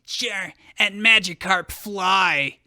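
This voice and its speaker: A man's voice: sits high, extremely slow, bright, forceful tone, no echo, studio quality, heavily acted. A man voicing an angry, sarcastic young man, a betrayed son, with a scornful, snarling delivery in a fan fiction reading. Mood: bitter, scornful.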